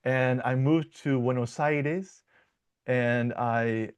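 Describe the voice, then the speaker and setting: A young American man from Michigan, a dialect coach, answering interview questions in a relaxed, playful way with frequent restarts and fillers. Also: medium pitch, takes it slowly, clear, resonant tone. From Michigan, United States.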